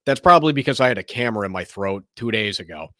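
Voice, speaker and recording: A man hosting a sports show, giving casual, opinionated commentary in a slightly hoarse, raspy voice, rambling and often restarting his sentences. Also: on the low side, animated, fast, bright, forceful tone, dry recording, clean studio sound.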